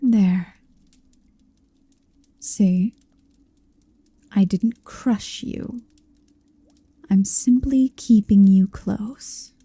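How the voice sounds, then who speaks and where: A woman playing an ancient, haughty, possessive female dragon in human shape, in an intimate ASMR roleplay, breathy and often whispering, with a commanding, teasing manner. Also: mid-range voice, warm tone, no room sound, heavily acted. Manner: slow, languid, commanding, teasing.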